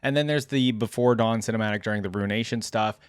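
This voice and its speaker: A man's voice: lowish voice, quick pace, clean tone, no echo. Man, casual gaming commentator, dry close sound. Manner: conversational, trailing asides and questions to viewers. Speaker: reaction video host.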